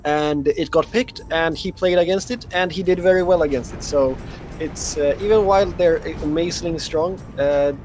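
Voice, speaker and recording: A man's voice: on the high side, moderate pace, a little mellow, soft background music. A man from Sweden co-casting a live strategy game, hesitant with lots of fillers. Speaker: esports co-caster.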